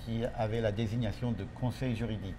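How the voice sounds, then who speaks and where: Low-voiced man, halting, noisy recording. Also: steady tone. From United States. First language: English. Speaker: lawyer, interview guest. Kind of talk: interview answers.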